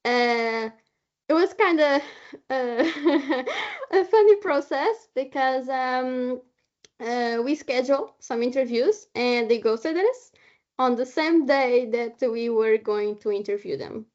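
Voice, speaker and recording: Woman, non-native English speaker, high voice, halting informal presenter, dull lo-fi call audio. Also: expressive. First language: not English. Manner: halting, searching for words. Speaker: short film maker introducing the film. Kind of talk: informal spoken presentation at online event. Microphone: video call.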